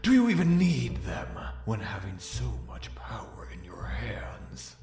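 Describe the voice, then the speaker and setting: A man voicing a sinister, gloating dark hedgehog villain in a comic dub, with a gravelly, menacing, theatrical delivery. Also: on the low side, huge pitch swings, unhurried. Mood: taunting. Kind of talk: scripted fan comic dub. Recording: echoey voice over background music.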